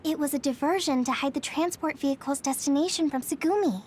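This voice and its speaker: An actress playing a caring, earnest teenage girl squad member in an anime-style video game, gentle and concerned. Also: high-pitched, brisk, slight background noise, natural voice. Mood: curious.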